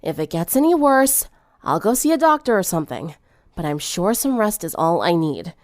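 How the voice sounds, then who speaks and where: A woman's voice: on the low side, very animated, moderate pace, darkish tone, no echo. Storybook role: stubborn, ailing teen girl, acted by a woman, tired and dismissive, dry recording. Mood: weary, groggy. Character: sick, stubborn, weary teenage girl, brushing off friends' worry.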